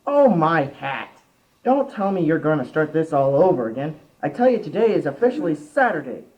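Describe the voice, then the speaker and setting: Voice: mid-range voice, very animated, normal speed, lightly acted. A teenager in an amateur radio play acting an exasperated, tight-fisted male boss. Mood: impatient. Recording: muffled amateur recording.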